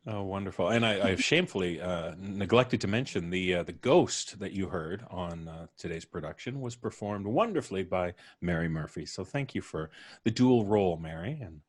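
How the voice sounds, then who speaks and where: A man's voice: deep voice, lively intonation, moderate pace, breathy edge, dry recording. A man hosting a live podcast stream, chatting off the cuff with courteous, slightly theatrical turns of phrase. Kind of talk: unscripted closing remarks to a cast and audience.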